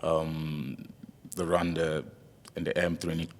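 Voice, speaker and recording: A man from DR Congo who grew up in South Africa, chatting casually as a guest, halting and repeating his phrases. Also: very deep voice, little pitch movement. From born in DR Congo, raised in South Africa. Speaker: interview guest, MMA fighter. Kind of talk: casual chat. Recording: reverberant hall-like room.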